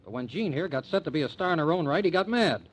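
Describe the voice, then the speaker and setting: An actor playing a protective, excitable booking agent boyfriend, slangy and emphatic, with an American accent in old-time radio drama style. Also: male voice, fairly high pitch, fast talker, rough recording. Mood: protective, agitated.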